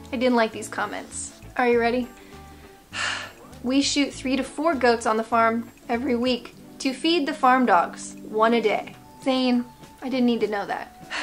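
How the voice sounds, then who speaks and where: A fairly high-pitched American woman talks casually to her viewers over background music. She hosts like a vlogger reacting to comments, reading bits aloud and tossing in playful, sarcastic asides. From United States. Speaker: vlog host.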